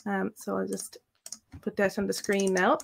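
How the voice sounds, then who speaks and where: An Irish woman co-hosting a live question-and-answer stream about learning the language. She talks in long, meandering explanations full of fillers, at a middle pitch. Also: level tone, moderate pace, creaky. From Ireland. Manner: thoughtful, rambling with many fillers. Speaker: Irish language tutor and co-host.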